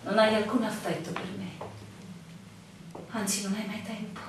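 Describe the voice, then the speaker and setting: An actress playing an anguished, suspicious young barmaid wounded in love, in a theatrical radio drama manner with dramatic pauses. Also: female voice, mid-range voice, level tone, lightly acted. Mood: bitter, wounded. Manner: emotional, dramatic pauses, swinging intensity. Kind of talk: theatrical stage-style radio drama acting. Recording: very echoey room, distant mic, faint noise.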